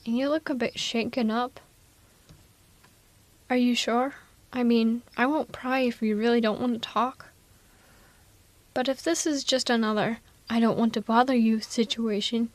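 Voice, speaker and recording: Casting: actress for female villain nemesis, blunt yet caring, fairly high voice, casual wry delivery, close dry audio roleplay sound. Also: steady tone, normal speed, dry recording, lightly acted. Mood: gentle, teasing. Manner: casual, wry, reassuring, exasperated. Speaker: ASMR roleplay voice performer. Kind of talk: ASMR audio roleplay, conversational acting.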